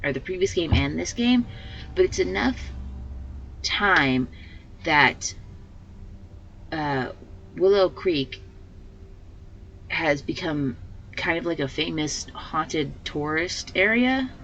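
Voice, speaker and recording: A woman with a slightly hoarse voice hosting a let's play, giving casual commentary and thinking aloud as she plays. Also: low voice, animated, slow pace, pauses often, a bit bright, muffled recording, a little hiss or hum. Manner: rambling, thinking aloud with fillers.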